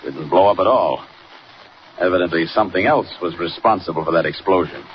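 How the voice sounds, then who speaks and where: Guy acting a confident superhero talking to himself, really low voice, old muffled 1940s radio. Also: fairly quick, close to an everyday voice. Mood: determined. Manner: musing aloud to himself. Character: confident, resolute male superhero investigating alone. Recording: muffled, noisy 1940 radio recording.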